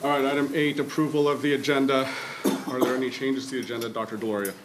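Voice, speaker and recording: A man chairing a board meeting, calling items in a brisk, procedural way. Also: medium pitch, little pitch movement, very noisy. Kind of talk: running a formal meeting. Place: school district meeting room.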